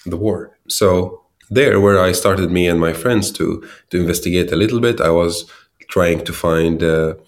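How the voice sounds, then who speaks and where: A man from Syria, a startup founder in his thirties, answering interview questions with many pauses and restarts. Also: sits low. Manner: hesitant, many fillers and restarts.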